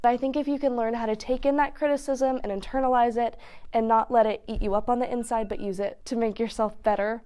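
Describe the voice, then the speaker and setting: A woman's voice: a little high, steady tone, a little fast, warm tone, clear, resonant tone. A woman in her late teens, a student actress, talking reflectively in an indoor interview. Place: indoors against a painted wall.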